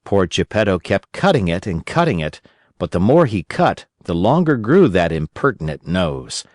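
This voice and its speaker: Male narrator for children's audiobook: gentle storyteller, fairly deep, very varied intonation. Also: sing-song, normal speed, no echo, studio quality.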